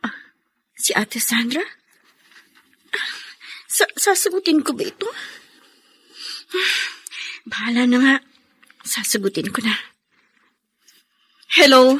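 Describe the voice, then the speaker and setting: A woman playing a gentle, caring young mother in a Filipino radio drama. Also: high voice, lively intonation, slow, long pauses, slightly rough, exaggerated voice. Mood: anxious.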